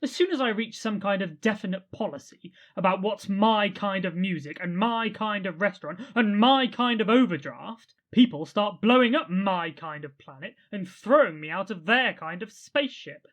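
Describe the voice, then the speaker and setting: A man's voice: high-pitched, expressive, normal speed, hardly any pauses, a bit bright. Bewildered everyman , played by a man, earnest, plaintive and apologetic, lost in space, amateur radio drama. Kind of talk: amateur audio drama acting.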